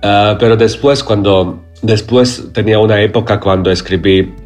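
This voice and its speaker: A man from Croatia, a playwright, speaking haltingly in an as an interview guest, often searching for words. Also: on the low side, fairly even intonation, darkish tone, soft background music. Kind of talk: interview conversation.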